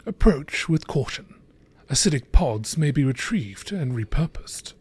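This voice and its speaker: A man reading scripted narration in a measured, enunciated nature documentary style, with a husky voice. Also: medium pitch, sing-song, a little mellow, a little hiss or hum. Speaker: narrator reading game lore entries.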